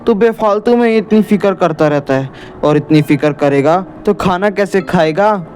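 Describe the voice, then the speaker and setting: A man's voice: sits high, normal speed, darkish tone, slight background noise, pitched above the performer's own voice, lightly acted. A man voicing a cocky, joking village boy in a horror cartoon story, breezy and dismissive.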